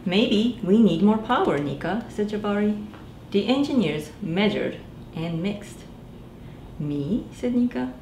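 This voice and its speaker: A woman's voice: medium pitch, measured pace. A woman in her thirties, a librarian, reading a picture book aloud to children and doing the characters' voices. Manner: animated, voices characters, addresses children directly. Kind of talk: children's storytime read-aloud. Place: small library room indoors. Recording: echoey room with faint background noise.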